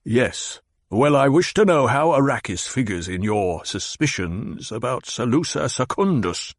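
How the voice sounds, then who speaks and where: A man's voice: mid-range voice, sing-song, measured pace, no room sound, clean studio sound. A man voicing a fat, petulant, demanding baron who blusters and growls. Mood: blustering.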